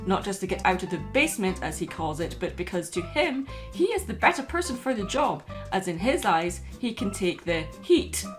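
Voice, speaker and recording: Woman doing video essay commentary, medium pitch, bit of music behind her.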